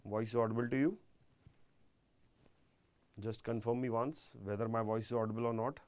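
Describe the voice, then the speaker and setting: An Indian-accented man teaches an engineering class online in a mid-pitched voice. He is deliberate and emphatic, often restating phrases for his students. The sound is dry, with very little room echo. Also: steady tone, a little mellow. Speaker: engineering lecturer. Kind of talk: live online exam-prep lecture.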